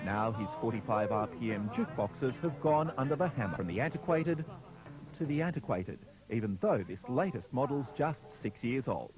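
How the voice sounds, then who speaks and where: A man's voice: mid-range voice, expressive, normal speed. A man reading a scripted television news report. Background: music.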